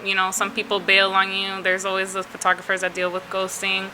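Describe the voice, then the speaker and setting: A young woman from Florida, a photographer answering interview questions in a relaxed, chatty way with lots of fillers. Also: mid-range voice, brisk, a little hiss or hum, poor audio. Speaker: photographer guest.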